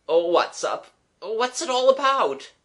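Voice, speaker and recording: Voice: medium pitch, very animated, unhurried, a bit bright, voice pushed up. A reader voicing a flustered working-class young man, gasping, agitated and apologetic, in a storybook. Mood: bewildered, agitated.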